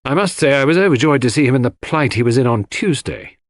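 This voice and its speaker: A man voicing an earnest, loyal gentleman sidekick with a hearty, old-fashioned chummy manner in a mystery audiobook. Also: mid-range voice, expressive, quick pace, dry recording, voice pushed up, lightly acted. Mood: candid.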